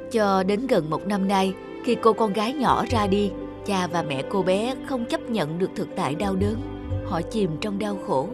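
A woman voicing a gentle, wise guardian angel in a cartoon, tender and instructive. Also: medium pitch, lightly acted. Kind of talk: animated fairy-tale dubbing.

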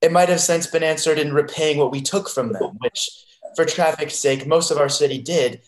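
A young man playing a devoted, wary sea fighter, earnest and halting, in a Shakespeare reading over a video call. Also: on the high side, slight background noise, lightly acted. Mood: wary, caring. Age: young adult. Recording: echoey bedroom video call.

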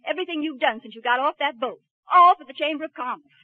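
An actress playing an exasperated, sardonic wife with a dry, cutting manner in 1940s radio comedy style. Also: female voice, sits high, fast, no echo, lightly acted. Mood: exasperated, sarcastic. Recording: muffled old 1940s radio recording.

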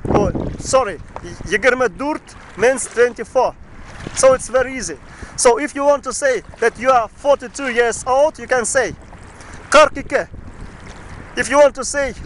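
Guy in his 30s teaching from a boat, really high voice, wind noise in the camera mic. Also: fairly bright tone. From Bashkortostan. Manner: patient, repetitive, drills words twice. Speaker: language teacher. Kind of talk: casual video lesson, repeating words slowly. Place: outdoors on a boat on a wide river. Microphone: camera mic, selfie framing at arm's length.

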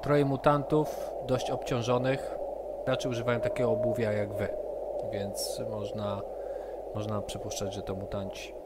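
Male game master over loud noise. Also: lowish voice, level tone, normal speed. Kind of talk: narrating a tabletop role-playing session. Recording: online session with loud background noise.

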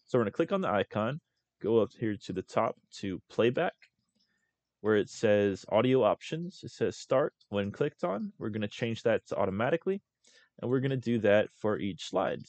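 A young man hosting a casual screen-recorded software tutorial as a voice-over. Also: fairly low pitch, animated, moderate pace, dry recording. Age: young adult. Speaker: tutorial host.

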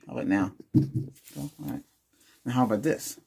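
A man's voice: medium pitch, normal speed, vocal fry, noisy background. An older man doing an ASMR doctor roleplay, giving short instructions and asking brief questions as in an exam. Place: small indoor room with cloth backdrop.

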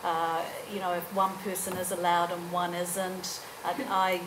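A woman's voice: lowish voice, monotone, a little slow. A woman in her forties speaking directly and with firm opinions on a public panel. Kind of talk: panel discussion. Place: university lecture room. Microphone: table microphone. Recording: room with background hum.

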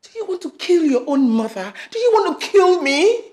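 A woman's voice: very high voice, big pitch swings, normal speed, darkish tone. An actress playing a possessive, domineering middle-aged mother in a melodrama, commanding and guilt-tripping, repeating herself. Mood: wounded, reproachful. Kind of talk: melodramatic screen drama dialogue.

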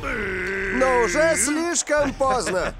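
A man voicing an excitable, dramatic football commentator in an animated cartoon. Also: very high voice, extremely slow, over loud music, full character voice. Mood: excited. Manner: dramatic announcing.

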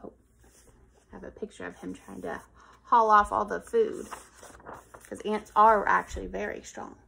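A woman in her thirties reading a picture book aloud to young children in an expressive, sing-song manner. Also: medium pitch, normal speed, creaky, slight background noise, poor audio. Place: living room on a couch, indoors.

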